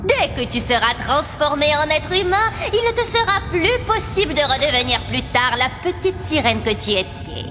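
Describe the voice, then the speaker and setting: Cartoon sea witch, high voice, wicked, cunning, taunting, theatrical drawl, clear background music. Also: very animated. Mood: ominous, mocking. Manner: theatrical, taunting, drawn-out menacing delivery. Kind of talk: dubbed animated fairy-tale acting. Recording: long cavernous reverb, music underneath.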